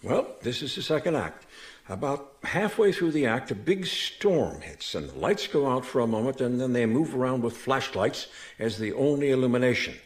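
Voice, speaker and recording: An actor playing an obliging theater director in a radio mystery, chatty and explanatory. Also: male voice, medium pitch, very animated, normal speed, lightly acted. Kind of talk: radio mystery drama acting.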